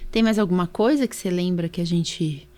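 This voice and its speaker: A woman's voice: on the low side, brisk, a little mellow. A Brazilian woman hosting a podcast as a doctor, chatting informally and chiming in with agreement. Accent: Brazilian Portuguese. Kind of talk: casual podcast chat.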